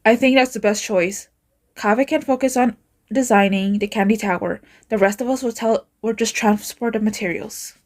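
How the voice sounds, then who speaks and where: Amateur female voice actor, high-pitched, performing scripted character lines from a game. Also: moderate pace. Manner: acted, reading scripted lines.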